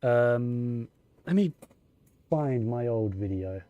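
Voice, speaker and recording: A man's voice: mid-range voice, animated, takes it slowly, mellow, no echo, dull audio. A man giving casual live gameplay commentary, muttering and thinking aloud as he goes. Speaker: game streamer. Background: faint game music.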